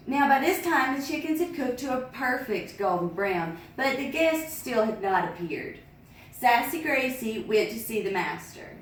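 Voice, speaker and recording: A woman reading a picture book aloud as a storyteller, lively, with sing-song sound effects. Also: high voice. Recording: echoey room, distant mic.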